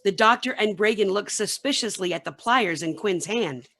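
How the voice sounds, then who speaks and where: A woman's voice: mid-range voice, animated, moderate pace, fairly bright tone. A woman reading scripted narration and stage directions for a radio play.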